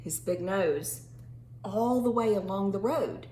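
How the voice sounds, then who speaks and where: A woman reading a picture book aloud to young children in an animated, teacherly way, pausing to ask simple questions and stretching words for emphasis. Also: medium pitch. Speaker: children's storytime reader and teacher. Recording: roomy echo with faint background noise.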